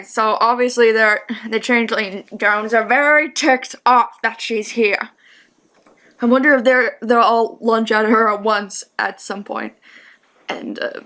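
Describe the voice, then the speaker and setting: Woman doing chill reaction commentary, highish voice, just thinking out loud. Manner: informal, thinking aloud. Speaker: reaction host.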